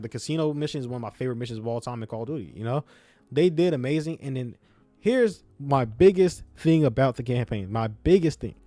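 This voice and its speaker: Young male gaming creator, speech impediment, quick and mellow, slangy, rambling review style over background music. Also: medium pitch, no room sound. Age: young adult. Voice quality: self-described speech impediment. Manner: rambling, slangy, repeats phrases for emphasis. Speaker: YouTube gaming content creator. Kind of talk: casual opinionated game review talk.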